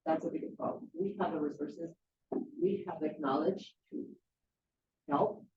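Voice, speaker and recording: A woman from Mexico talks to a county board about her advocacy work. She stops and restarts often, reaching for words. The sound is distant, roomy and thin like a phone line, with a low hum behind. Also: darkish tone. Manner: halting, many fillers and restarts. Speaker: advocacy program manager. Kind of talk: public comment at a county board meeting. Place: county board meeting room.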